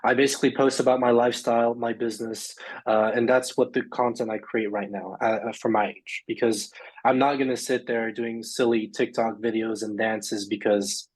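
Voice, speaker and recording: A man's voice: mid-range voice, flat delivery, normal speed, rough recording. Rambling man guest on a podcast. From Luxembourg. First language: English. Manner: rambling, many fillers and restarts. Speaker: podcast guest, sports agent. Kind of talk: casual interview chat.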